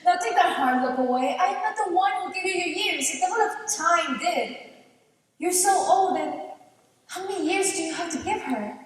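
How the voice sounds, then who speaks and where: Casting: woman as sly, mocking swamp witch, high voice, declamatory stage delivery, heard far from the mic in a reverberant hall. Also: animated, normal speed. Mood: cruelly frank. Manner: theatrical, declamatory, with Spanish phrases. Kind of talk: stage play acting. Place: large indoor theater stage, distant camera. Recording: echoey theater stage from far off.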